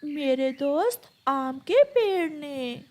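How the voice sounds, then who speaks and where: Woman voicing a high-pitched, earnest little boy bird. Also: animated, slow pace, a little mellow, slight background noise. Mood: happy, grateful. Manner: earnest, plaintive, childlike. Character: poor, earnest young boy bird, devoted to his mother. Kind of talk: children's cartoon story dialogue.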